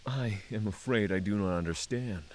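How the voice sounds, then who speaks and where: A man playing a solemn, courteous dragon lord in a fantasy audio drama, speaking in formal, measured phrases. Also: on the low side, darkish tone, dry recording, close to an everyday voice. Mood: reflective. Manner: formal, measured, thoughtful diction.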